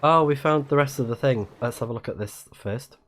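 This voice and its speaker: Guy doing a lets play, chatty and reactive, average pitched voice, casual. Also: fairly quick, fairly bright tone. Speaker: game streamer. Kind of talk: casual let's play commentary, reading game text aloud.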